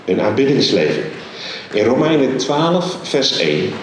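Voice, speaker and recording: A middle-aged man preaches on a church stage with a handheld mic, his pitch rising and falling with lively emphasis. His voice rings out through a very echoey large hall, with a faint hum of ambience behind it. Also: far from the mic. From Netherlands. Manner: animated, emphatic preaching with many pauses. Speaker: guest preacher. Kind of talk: sermon.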